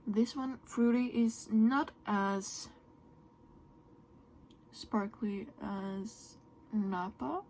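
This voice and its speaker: Slow, gravelly-voiced woman. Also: mid-range voice, slight background noise. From Norway. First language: Norwegian. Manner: soft, often trailing into a whisper. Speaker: beauty vlogger. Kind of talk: makeup tutorial chat.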